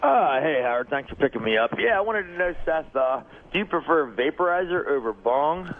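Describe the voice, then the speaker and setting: A male caller on a radio show, heard over a dull phone line with faint noise. His voice is slightly high and lively as he asks things casually. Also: brisk. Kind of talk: casual call-in question. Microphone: telephone.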